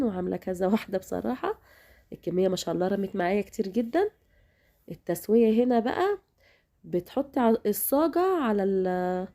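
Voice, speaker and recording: A woman's voice: mid-range voice, dark tone. A woman with an Egyptian Arabic accent, hosting a home cooking demonstration in a chatty way, speaking straight to her viewers, her voice a little worn from a recent illness. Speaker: cooking channel host.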